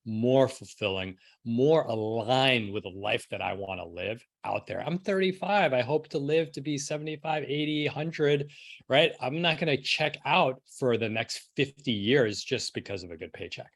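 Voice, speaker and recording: A man's voice: mid-range voice, moderate pace, creaky, a bit bright. A middle-aged man from New York City telling his own story as a podcast guest in a casual, conversational way. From New York City, United States. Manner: casual, frequent "like" and rhetorical "right?".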